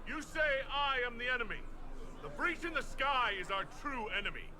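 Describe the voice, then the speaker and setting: A man's voice: extremely high pitch, lively intonation, fairly quick, sharp tone, heavily acted. An actor voicing an earnest male leader in a video game, urgently appealing for unity. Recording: game audio with clear background ambience.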